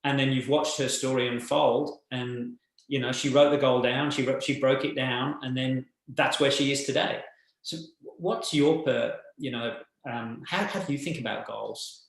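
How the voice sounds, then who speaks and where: A man's voice: mid-range voice, steady tone, moderate pace, gravelly. Encouraging male host, echoey room. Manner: encouraging, praising. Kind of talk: interview.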